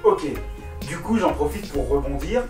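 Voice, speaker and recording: A man's voice: fairly high pitch, lively intonation, normal speed, darkish tone, far from the mic. A man interviewing a singer, asking questions and praising in flowery words. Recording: roomy, off-mic, music behind.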